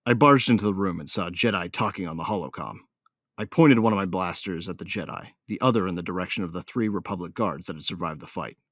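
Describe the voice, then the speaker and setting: A man's voice: deep voice, dry recording, clean studio sound, close to an everyday voice. A man playing a cocky, hot-tempered bounty hunter who tells his own story in a brash, colloquial, hard-boiled way. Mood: tense, grim. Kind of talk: first-person dramatised audiobook narration.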